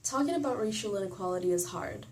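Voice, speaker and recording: A young woman from Sweden, speaking a personal video monologue in a thoughtful, measured way, sometimes searching for words. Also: mid-range voice, expressive, slight background noise. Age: young adult.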